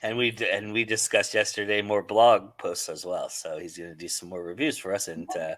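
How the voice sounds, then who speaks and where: A man's voice: low-pitched, little pitch movement, a little fast, fairly bright tone, dry recording. A man hosting a casual panel chat, easygoing and affirming. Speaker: host.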